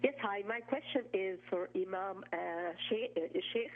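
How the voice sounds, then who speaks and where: A woman's voice: mid-range voice, moderate pace. Woman calling in, hesitant but pushy, tinny phone sound. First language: Arabic. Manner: hesitant, challenging. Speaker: caller. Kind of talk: call-in question.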